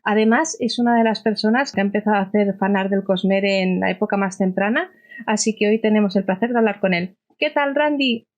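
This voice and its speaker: A woman's voice: mid-range voice, a little fast, highs cut off. A woman co-hosting an interview, welcoming the guest in a cheerful way. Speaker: co-host. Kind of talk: friendly podcast interview.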